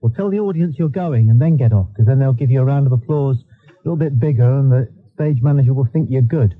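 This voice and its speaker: A man's voice: mid-range voice, fast talker, narrow, tinny audio. A man hosting a live radio phone-in in a dry, wry, instructive way. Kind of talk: live talk radio chat. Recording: thin, band-limited radio recording.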